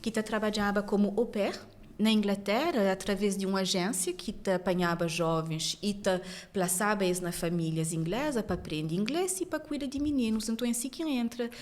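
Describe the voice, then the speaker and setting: A woman from France with a Cape Verdean accent, a journalist telling her story as a podcast guest in lively conversation. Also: medium pitch. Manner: animated storytelling, quick laughs. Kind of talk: casual interview conversation.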